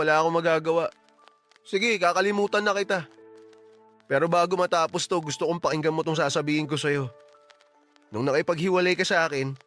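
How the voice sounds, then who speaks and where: A man's voice: fairly high pitch, a bit bright, close to an everyday voice. A man acting a regretful, resigned, heartfelt ex-boyfriend in a Tagalog radio romance drama.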